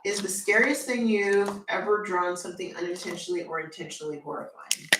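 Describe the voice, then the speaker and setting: A woman co-hosting an art livestream, reading viewer comments aloud softly with pauses. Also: on the low side, steady tone, recorded from a distance. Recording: echoey room, off-mic, faint noise.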